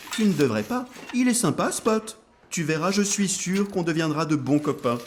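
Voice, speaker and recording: A man voicing a friendly, reassuring machine buddy who explains things in a chummy, animated way in an educational cartoon. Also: on the high side, slight background noise, close to an everyday voice. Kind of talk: scripted educational cartoon dialogue.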